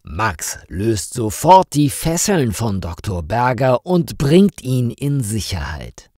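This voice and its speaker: Man, story narrator, slow, very animated intonation, dry close sound. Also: mid-range voice, no room sound. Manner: slow, expressive storytelling with dramatic rises. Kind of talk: scripted story narration for German learners.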